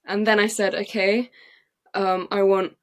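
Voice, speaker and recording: A young English woman, a playwright and actress, telling her story in an excited, chatty way with many fillers and restarts. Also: medium pitch, measured pace. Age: young adult. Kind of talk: casual interview storytelling. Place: podcast recording.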